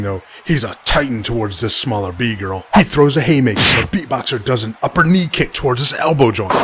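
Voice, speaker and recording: A man's voice: medium pitch, natural voice. A man playing a hyped, slangy wrestling commentator who narrates each blow of the fight. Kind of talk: sports commentary.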